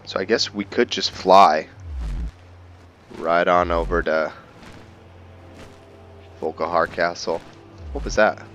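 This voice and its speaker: A man's voice: low-pitched, fairly even intonation, takes it slowly, long pauses, a bit bright, lo-fi sound, soft background music. A man giving casual, laid-back video game commentary, musing aloud to himself as he plays. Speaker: gamer narrating own video game playthrough. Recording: faint background noise.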